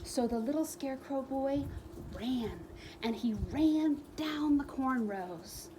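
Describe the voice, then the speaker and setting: Slow, higher-voiced woman reading a storybook, noisy recording. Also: lively intonation, mellow. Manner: dramatic pauses and repeated emphatic phrases. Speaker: storyteller reading a children's book. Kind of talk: expressive read-aloud for young children.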